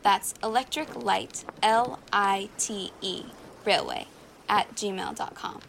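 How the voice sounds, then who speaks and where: A woman's voice: medium pitch, slow, gravelly, fairly bright tone, slight background noise, poor audio. A woman co-writer presenting scripted closing credits for a podcast.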